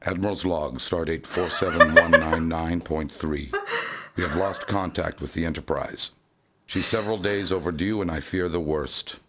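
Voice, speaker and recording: A man's voice: low-pitched, normal speed, background noise, close to an everyday voice. A man playing a grave, worried fleet admiral in a science fiction game, solemnly dictating a formal log.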